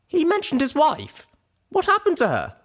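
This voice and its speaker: Man doing a high falsetto young female pony. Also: wildly swooping pitch, normal speed, fairly bright tone, heavily acted. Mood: gently questioning. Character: young female pony, earnest, dejected and indignant.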